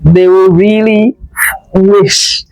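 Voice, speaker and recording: A woman's voice: mid-range voice, fairly even intonation, drawn-out words, gravelly, darkish tone. Conversational woman host, dry close sound. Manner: reacts often, echoing guests' words. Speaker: interview host. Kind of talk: casual conversational interviewing.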